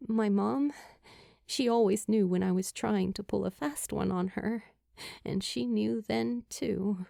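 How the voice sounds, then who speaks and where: A woman voicing an earnest, grieving teenage girl in an audiobook, halting and emotional as she speaks. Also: mid-range voice, creaky, mellow, dry recording, clean studio sound. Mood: wistful, vulnerable. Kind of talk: acted dialogue in audiobook narration.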